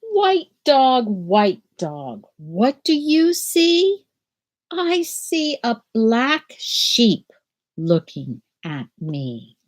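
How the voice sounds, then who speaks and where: Slow, lilting older woman reading aloud. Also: fairly high pitch, huge pitch swings. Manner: slow, gentle, sing-song storybook reading. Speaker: teacher reading aloud to children. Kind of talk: children's read-aloud lesson. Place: small room at home. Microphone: laptop webcam video call.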